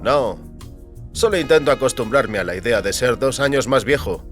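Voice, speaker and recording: A man's voice: mid-range voice, lively intonation, moderate pace, pitched above the performer's own voice, natural voice. A man puts on an irritable technician in a science fiction audio story. He sounds uneasy and blunt, putting things in a questioning way. Light music runs behind him. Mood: curt, resigned.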